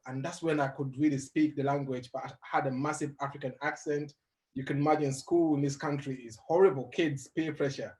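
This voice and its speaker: A young adult man who grew up in Uganda, telling his life story as a guest in an interview, animated and laughing as he goes. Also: mid-range voice, poor audio. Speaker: guest motivational speaker.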